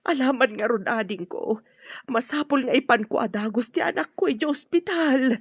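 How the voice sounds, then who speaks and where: Worried, high-voiced mother, husky edge. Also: normal speed, no echo. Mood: distressed. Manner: worried, emotive, calling out. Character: anxious, protective mother of a sick grown daughter. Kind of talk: Ilocano radio drama acting. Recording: dull lo-fi audio with music.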